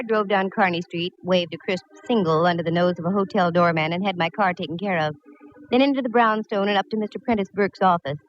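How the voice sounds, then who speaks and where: A woman's voice: on the low side, lo-fi sound, natural voice. An actress playing a breezy, wisecracking female private eye in 1940s radio drama style, glib and sardonic, on a vintage recording. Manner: glib, sardonic, quick with quips.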